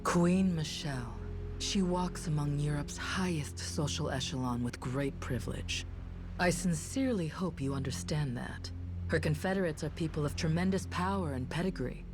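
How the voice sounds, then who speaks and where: A woman's voice: low voice, normal speed, over music, close to an everyday voice. An actress playing a cool, menacing, self-assured envoy of a crime queen in a video game, speaking with formal poise. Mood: coolly threatening. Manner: formal, poised, veiled threats.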